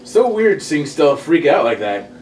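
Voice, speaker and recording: A man playing an excitable, teasing guy gossiping with his buddy at a comedy table read. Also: fairly high pitch, normal speed. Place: indoor room, group table read. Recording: echoey room, distant mic, faint noise.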